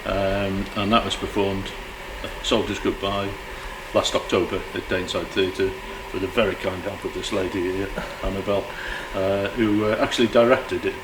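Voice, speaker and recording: A man with a lowish voice gives an unscripted interview. He talks in loose, halting phrases. Noticeable ambient noise sits behind him. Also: level tone. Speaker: playwright, interviewee. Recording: clear background noise.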